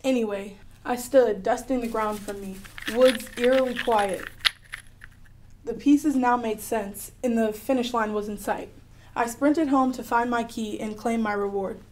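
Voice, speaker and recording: A woman playing an adventurous, clever girl heroine who tells her own story in a radio drama, earnest and expressive. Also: on the high side, measured pace, slight background noise, natural voice, low-quality recording. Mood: excited, wondering. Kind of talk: first-person radio drama narration.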